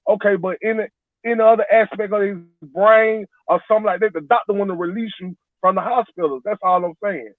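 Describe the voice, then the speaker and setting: A man's voice: high voice, fairly even intonation, a little fast, dry recording. A man in his forties calling in, rambling and defending his point, repeating himself. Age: 40s. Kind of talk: heated casual debate. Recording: tinny phone line, dry.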